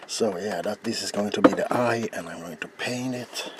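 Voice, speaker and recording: A middle-aged man narrating a craft in a soft-spoken, hesitant ASMR style that slips into whispers. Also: fairly low pitch, a little slow. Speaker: ASMR video maker. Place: small cluttered indoor home workroom. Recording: clear background room noise.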